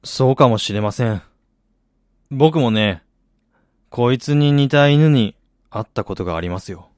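An actor playing a weary, reflective middle-aged man in a radio drama, recounting his losses in a measured, confessional way. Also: mid-range voice, a little mellow, no echo, studio quality, close to an everyday voice. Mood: reflective, haunted. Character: weary man who lost family, confessional, brooding. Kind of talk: Japanese radio drama acting.

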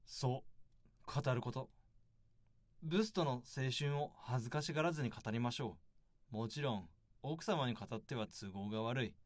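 A man's voice: mid-range voice, moderate pace, long pauses, dry recording, close to an everyday voice. An actor playing a sympathetic, knowing male counsellor, coaxing and instructing in a radio drama. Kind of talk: Japanese radio drama dialogue.

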